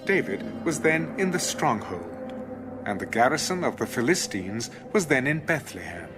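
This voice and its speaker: A man narrating scripture as formal, measured, dramatic storytelling. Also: medium pitch, sing-song. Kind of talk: dramatic audio Bible narration. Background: music behind the voice.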